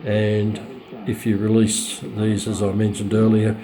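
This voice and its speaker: Hesitant low-voiced man, casual demo. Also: monotone, crowd noise, low-quality recording. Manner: hesitant, halting delivery with very frequent ums and pauses. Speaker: radio collector presenting a receiver. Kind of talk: casual unscripted product demonstration.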